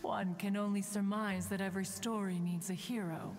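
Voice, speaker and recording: A woman's voice: mid-range voice, steady tone, moderate pace, a little mellow, lightly acted. A woman voicing an ancient, dignified female immortal in a video game, formal, stately and aloof. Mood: musing. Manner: formal, stately, refers to self as one. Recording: long hall reverb, hiss.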